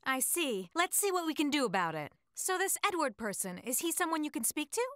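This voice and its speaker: A woman's voice: high voice, very animated, brisk, fairly bright tone, no echo, studio quality. A woman voicing a confident, cheerful teenage angel girl with a playful, self-assured manner. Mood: stern.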